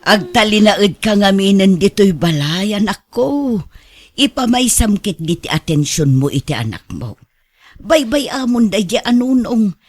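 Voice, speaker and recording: A woman's voice: medium pitch, very animated, unhurried, no echo. An actress with an Ilocano accent playing a concerned, pleading older mother in a radio drama. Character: concerned, pleading older mother trying to keep family together.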